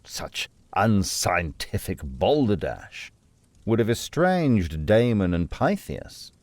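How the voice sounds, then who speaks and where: Man acting very deep-voiced, boisterous old doctor. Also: big pitch swings, slow, darkish tone, no room sound, exaggerated voice. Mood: indignant. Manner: theatrical, decided, indignant. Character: hearty, boisterous, opinionated old gentleman doctor. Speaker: voice actor in audio drama. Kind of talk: dramatic audio drama dialogue.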